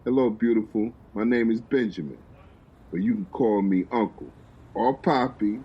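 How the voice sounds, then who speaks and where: A man voicing a cocky, smooth-talking street charmer, flirting with easy confidence. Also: on the low side, normal speed, lo-fi sound.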